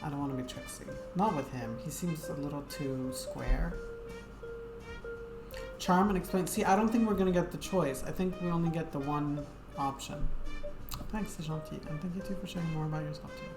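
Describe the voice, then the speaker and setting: A woman's voice: sits low, normal speed. A woman game streamer chatting casually to viewers in a rambling, hesitant way, with lots of fillers. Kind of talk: casual live-stream chat. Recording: echoey room with game music.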